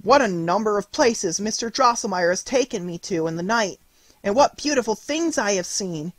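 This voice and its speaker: A reader voicing Marie, an earnest, excitable young girl who pleads to be believed. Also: medium pitch, moderate pace, no room sound, voice pushed up, close to an everyday voice, poor audio. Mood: eager. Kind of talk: audiobook character reading.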